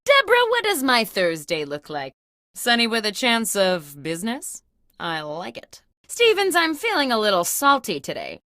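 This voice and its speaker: Casting: comic game boss, self-important and oblivious, highish voice, wildly animated phone manner, dry close recording. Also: female voice, moderate pace, no room sound, full character voice. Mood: bossy, oblivious. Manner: bossy, exaggerated, theatrical delivery. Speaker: video game character host. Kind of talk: comic scripted phone monologues.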